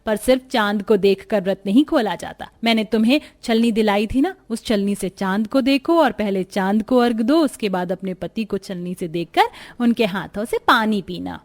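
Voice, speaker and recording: A woman's voice: mid-range voice, moderate pace, hardly any pauses, darkish tone, lightly acted. A woman voicing a strict but caring traditional mother-in-law who gives firm instructions in a cartoon story. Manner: instructing, firm, addresses her daughters-in-law.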